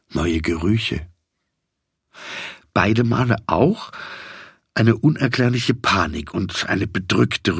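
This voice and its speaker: A man reading literary fiction as an audiobook narrator, in a measured, reflective manner. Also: deep voice, slightly rough, no echo, studio quality.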